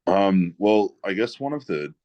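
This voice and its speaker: A man from New England with a deep voice, talking casually as a podcast guest with lots of "like" and "you know". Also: measured pace, dry recording, lo-fi sound. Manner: relaxed, rambling with many "like" and "you know" fillers. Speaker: guest guitarist.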